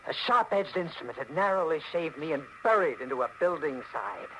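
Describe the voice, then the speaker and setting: A man's voice: on the high side, animated, a little fast, close to an everyday voice. An actor playing a wary, hard-boiled American man in a 1940s radio mystery, terse and dramatic, with an American accent. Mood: wary, tough. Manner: terse, dramatic, tough-guy delivery. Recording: noisy old 1940s radio recording.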